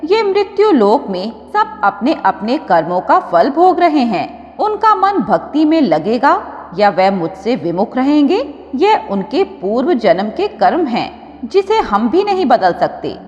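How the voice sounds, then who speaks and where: A woman storyteller voicing a calm, wise, patient male god who counsels in a measured way in a devotional tale. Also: a little high. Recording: dull audio with long reverb.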